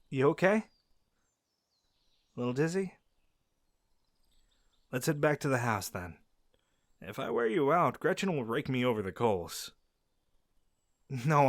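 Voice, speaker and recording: An actor playing a friendly young male werewolf who shows a silent listener around his pack's home, easygoing and quick to laugh. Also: medium pitch, a bit bright, no echo. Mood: relaxed. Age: young adult. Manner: easygoing, laughs often, answers unheard questions. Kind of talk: audio roleplay to a silent listener. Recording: dry close recording.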